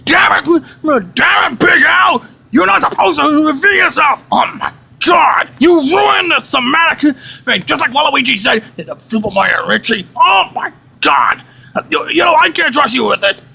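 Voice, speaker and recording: Man doing an exasperated, high, shouty scolding boss. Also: animated, moderate pace, gravelly, husky, heavily acted. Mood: exasperated, angry. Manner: stammering, repetitive, ranting. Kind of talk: amateur improvised skit.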